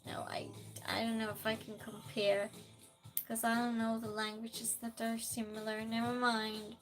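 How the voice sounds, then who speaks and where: A woman's voice: a little high, flat delivery, moderate pace, faint music behind. A woman with a Norwegian accent, a native Norwegian speaker, chatting casually on a stream and reading out viewer comments. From Norway. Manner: chatty, reads out chat messages and asks would-you-rather questions.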